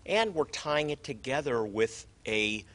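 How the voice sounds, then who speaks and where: A man's voice: mid-range voice, expressive, a little slow, fairly bright tone. A man hosting a conversation, talking earnestly with frequent hesitations and restarts. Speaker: host. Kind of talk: conversational interview.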